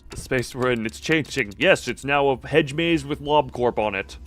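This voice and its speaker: A man's voice: medium pitch, moderate pace, a bit bright, slight background noise. A man doing casual live commentary as a game streamer, exclamatory and rambling. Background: faint music.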